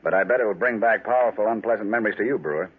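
A man's voice: on the low side, rapid-fire, close to an everyday voice. An actor playing a businesslike small-town man in 1940s radio drama style. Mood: matter-of-fact. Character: brisk, businesslike small-town newspaperman or official. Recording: tinny 1940s radio recording.

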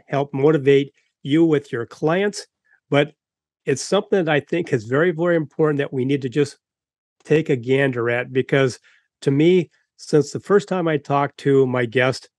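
A man's voice: a little high, darkish tone, no room sound. A man in his fifties hosting a podcast over a video call from home, earnest and rambling, with frequent restarts. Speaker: podcast host. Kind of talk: enthusiastic podcast introduction.

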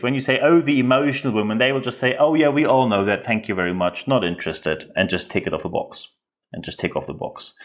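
A man from northern Germany with a German accent, hosting a solo podcast in his second language with an emphatic, rhetorical manner. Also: medium pitch. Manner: emphatic, rhetorical questions and repetition. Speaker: podcast host. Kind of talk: unscripted solo podcast commentary.

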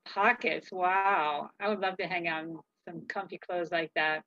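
A woman's voice: fairly low pitch, fairly even intonation, measured pace, bright, forceful tone. A middle-aged woman chatting in playful role-play for children over a video call. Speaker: co-host and story reader in costume. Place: book-lined room indoors, on a video call. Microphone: computer video call. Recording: dull video-call audio.

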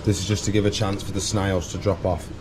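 A man's voice: sits low, little pitch movement, fast talker, background noise. A man in his thirties chatting casually and informally as he explains what he's doing in an unscripted vlog. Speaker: pet shop vlogger. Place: indoor aquarium shop.

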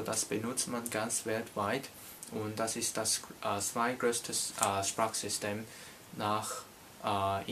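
A young Cantonese-native man from Hong Kong explains his language in a small bedroom, speaking slowly in a middle-pitched voice. He hesitates often as he works out each phrase, and a faint hiss sits under the camera-recorded sound. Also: a bit bright. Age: young adult. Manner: slow, halting, many hesitations. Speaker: language teacher. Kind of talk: casual language lesson. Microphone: camera in front at desk distance.

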